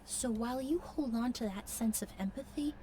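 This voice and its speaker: A woman voicing a composed, gentle and thoughtful mentor figure in a video game. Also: on the high side, moderate pace, slight background noise, natural voice. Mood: gentle, reflective.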